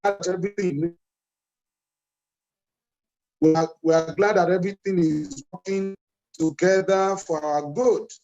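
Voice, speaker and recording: A man's voice: sits high, steady tone, measured pace, pauses often, darkish tone, lo-fi sound, soft background music. A fifty-year-old man with a Nigerian accent, giving an earnest motivational speech to young people, repeating key phrases and asking rhetorical questions over a video call. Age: 50s. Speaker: conference speaker addressing children.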